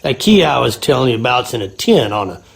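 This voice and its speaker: A rustic groundskeeper from a mystery game, with a gravelly, creaky voice at middling pitch. He has a Southern American drawl and a guarded, wary way of talking. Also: very animated, fast talker. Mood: guarded, uneasy. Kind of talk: video game character acting.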